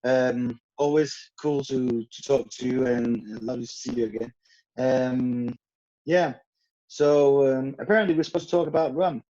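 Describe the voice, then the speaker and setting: A middle-aged man from Denmark talks casually over a video call, his voice mellow and low-key. He rambles along with plenty of fillers and restarts. The call audio sounds dull and muffled. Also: normal speed. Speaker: guest, rum brand owner.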